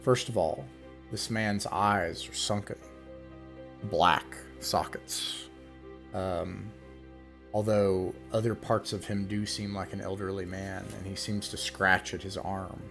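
A man's voice: low voice, flat delivery, takes it slowly, creaky, fairly bright tone, soft background music. A man with a scratchy voice narrating as game master in an online tabletop game, casual and hesitant. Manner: casual, hesitant, many fillers. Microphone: video call webcam.

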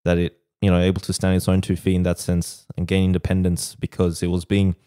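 A man's voice: deep voice, completely flat delivery, brisk, warm tone, clear, resonant tone. A man of Bengali heritage co-hosting a podcast, putting forward counterpoints with many fillers and restarts. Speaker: podcast co-host. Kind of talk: casual debate.

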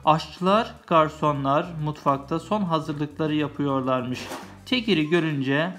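A woman with a low voice reads a children's tale aloud. She moves between storybook narration and casual asides to a small child, explaining as she goes. Music plays behind her. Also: slow pace, a bit bright. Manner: explains and chats with the child while reading. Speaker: parent reading a children's story to a young child.

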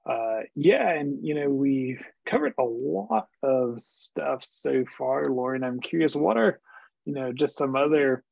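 A man's voice: medium pitch, lively intonation, a little slow, vocal fry, a little mellow, no echo. A young man hosting an interview on a video call from an office, affirming his guest and filling with "you know" as he asks questions. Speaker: interview host. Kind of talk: casual interview. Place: office with bookshelves, indoors. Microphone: video call webcam. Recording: dry, dull webcam audio.

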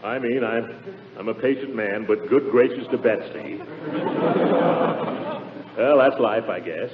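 An actor playing a blustery, exasperated middle-aged uncle in a 1940s radio comedy, hesitating and bursting into complaint. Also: male voice, medium pitch, a little slow, a loud crowd, lightly acted. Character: blustery, exasperated middle-aged uncle, self-pitying sitcom head of household. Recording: muffled, noisy 1940s radio broadcast recording.

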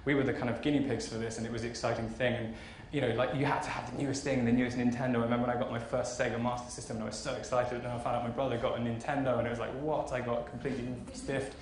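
Fast-talking man of thirty in a roomy hall. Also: mid-range voice, little pitch movement. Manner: conversational, enthusiastic. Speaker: keynote speaker, fashion marketing executive. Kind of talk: informal conference keynote. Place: large conference auditorium stage. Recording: roomy hall echo, faint background noise.

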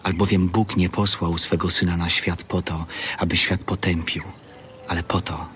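A man's voice: low voice, flat delivery, normal speed, fairly bright tone, slight background noise. A man giving a solemn scripted reading from a gospel. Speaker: reader of scripture.

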